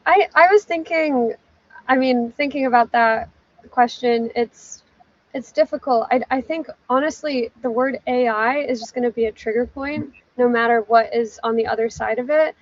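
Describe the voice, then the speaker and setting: A woman's voice: fairly high pitch, moderate pace. A woman giving casual, conversational news analysis as a journalist guest in an interview. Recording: muffled, distant from the mic.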